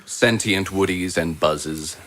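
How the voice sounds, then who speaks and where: A man's voice: low-pitched, slow, fairly bright tone. A man giving his opinions in a casual group chat.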